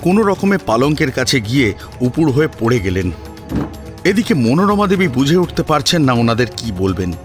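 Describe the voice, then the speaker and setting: A man narrating a scripted family drama in an expressive, suspenseful storytelling manner. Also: mid-range voice, moderate pace, soft background music.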